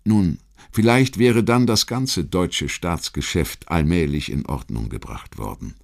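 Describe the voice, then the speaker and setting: Male narrator, deep voice, standard German accent, for history audiobook; measured, rhetorical reading with deliberate emphasis. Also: animated, creaky. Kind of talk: scripted documentary narration, literary history reading.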